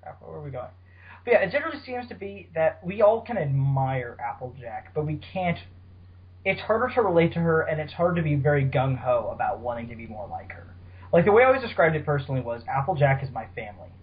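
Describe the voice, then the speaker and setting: Higher-voiced man on lo-fi podcast audio. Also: sing-song, fast talker. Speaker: podcast host. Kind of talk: casual fan discussion. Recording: dull, lo-fi voice call audio.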